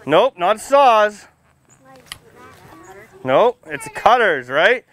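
A man's voice: high voice, sing-song, extremely slow, fairly bright tone. An American man, a firefighter, giving an informal outdoor demonstration to young children in a projecting, folksy manner with simple questions. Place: outdoors, under a tent and beside a fire truck.

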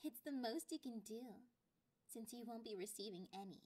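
A woman voicing a clingy, possessive catgirl girlfriend in an ASMR roleplay, with a playful, condescending and drawn-out delivery. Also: on the high side, expressive, a little mellow, pitched below the performer's own voice. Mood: commanding, smug.

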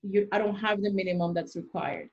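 A young woman speaks at a middle pitch over a dull, lo-fi video-call line. She is an immigration consultant, and she walks through the rules step by step with examples. She talks into a webcam in a small room. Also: fairly even intonation, brisk, darkish tone. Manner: explains step by step with worked examples.

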